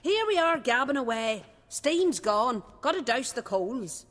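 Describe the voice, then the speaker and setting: Kind, worrying middle-aged village mother: folksy, slow, slightly high, ringing hall sound, video game role. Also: sing-song, a bit bright, exaggerated voice. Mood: gently chiding, curious.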